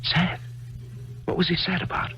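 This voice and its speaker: An actor playing a grieving, widowed author who narrates and talks in a reflective, halting way, in a 1970s radio drama. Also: male voice, slight background noise, natural voice. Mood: eager. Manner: reflective, halting, stammers when eager. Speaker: narrator and lead character. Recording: dull lo-fi archival radio with faint music.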